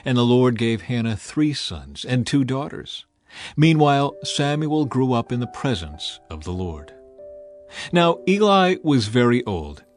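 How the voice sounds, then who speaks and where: A man narrating a dramatized scripture reading in a measured, solemn way. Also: mid-range voice.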